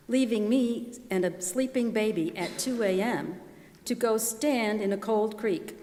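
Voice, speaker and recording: A woman's voice: mid-range voice, a little mellow. A woman reading a scripted first-person story aloud as part of a readers theater performance. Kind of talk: scripted first-person narration read aloud to a live gathering. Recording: long echoing hall reverb.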